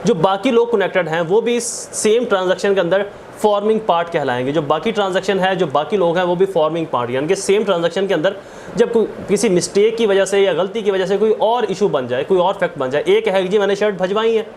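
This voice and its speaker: A man's voice: high voice, a little mellow, a little hiss or hum. A man with a Pakistani accent lecturing on law in a didactic, explanatory way, repeating points, asking rhetorical questions and mixing in English legal terms.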